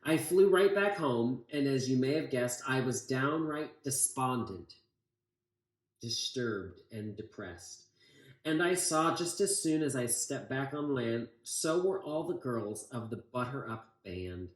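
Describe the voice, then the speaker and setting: Unhurried American man, echoey room. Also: medium pitch, lively intonation. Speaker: city council member reading to children. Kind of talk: storybook read-aloud and informal talk.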